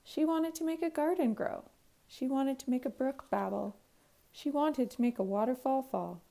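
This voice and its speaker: A woman reads a picture book aloud for young children. Her voice sits a little high yet has a soft, mellow tone with noticeable creak. She phrases the story clearly and expressively, like a teacher at story time. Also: moderate pace. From Canada. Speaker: primary school teacher reading aloud.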